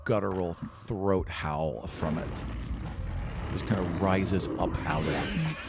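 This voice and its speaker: A man's voice: sits low, very animated, takes it slowly, vocal fry, background noise. A man speaking casually as a game master, improvising narration for a tabletop roleplaying game. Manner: casual, conversational.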